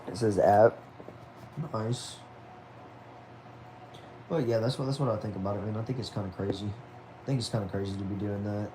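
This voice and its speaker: A man's voice: on the low side, fairly even intonation, moderate pace, pauses often, noisy background. Laid-back young man chatting on a livestream. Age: about 20. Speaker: livestream host. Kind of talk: casual livestream chat, reading viewer messages aloud. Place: at home.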